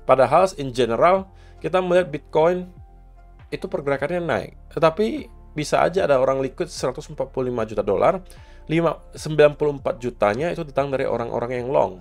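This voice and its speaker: A man with a slightly hoarse, rough voice from a cough explains market data in a steady, casual way, speaking to his viewers as friends. He sits at a medium pitch, and faint music plays softly behind him. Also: fairly quick. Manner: explains steadily, mixing English market terms. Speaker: crypto market commentator.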